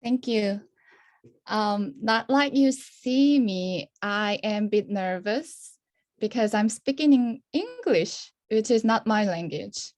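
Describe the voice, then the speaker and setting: Slow, hesitant Korean-accented woman. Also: medium pitch, expressive, a little mellow. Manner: hesitant, many fillers. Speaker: discussion chair. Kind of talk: video call webinar. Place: her room at home. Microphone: video call.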